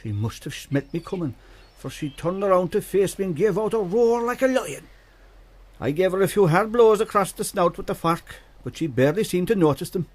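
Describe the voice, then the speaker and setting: An actor playing a genial old storyteller from an island, with an Irish accent, folksy and vivid, in a radio drama. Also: male voice, high-pitched, lively intonation, brisk. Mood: animated storytelling. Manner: folksy, teasing, vivid narrator. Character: genial old island storyteller, male, spinning yarns for children.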